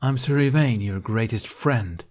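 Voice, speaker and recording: A man's voice: mid-range voice, a little slow, a little mellow, close to an everyday voice. Cheeky young stage knight acted by a male reader, mocking and sarcastic, for a fantasy story. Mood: mock-gallant. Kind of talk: character voice in fan fiction reading.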